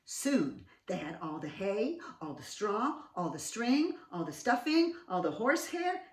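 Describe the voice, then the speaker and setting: Woman, children's story reader, hugely varied pitch, expressive asides, distant from the mic. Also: unhurried. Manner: expressive, sing-song, questioning. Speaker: children's read-aloud host. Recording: roomy, set back from mic.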